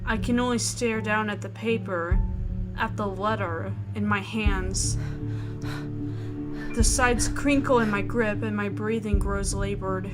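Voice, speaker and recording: A woman hosting a game playthrough reads visual novel narration with expression. Her voice sits at a middle pitch, and music plays clearly behind her. Casual reactions mix in with the reading. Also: steady tone, unhurried. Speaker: gaming video host.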